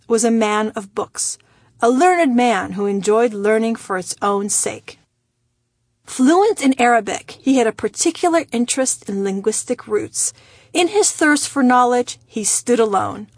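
A woman from Iran, a native Persian speaker, reading her own memoir aloud in a measured, wry storytelling manner. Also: fairly high pitch, lively intonation, no echo, clean studio sound. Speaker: memoir narrator.

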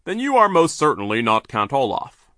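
Man voicing stern pompous banker, formal, emphatic, bright tone. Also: mid-range voice, huge pitch swings, moderate pace, dry recording, clean studio sound, voice pushed up. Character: stern, pompous middle-aged male banker.

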